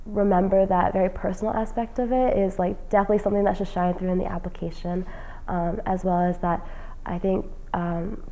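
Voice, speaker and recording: A young woman from New Jersey talks about her plans in a relaxed, mellow voice, seated in an indoor lounge. She speaks earnestly and keeps pausing on "um" fillers. The sound is close and clean from a lapel mic. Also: medium pitch, rough recording. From New Jersey, United States. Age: young adult. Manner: casual, frequent "um" fillers, earnest. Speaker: graduating senior, fellowship recipient. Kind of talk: interview answers. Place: indoor lounge with plants and leather chair.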